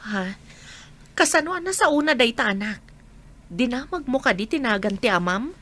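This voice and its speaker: A woman's voice: on the high side, animated, moderate pace, fairly bright tone. A woman playing a hurt, guarded young mother in an Ilocano audio drama, reserved in manner. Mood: uneasy, bitter.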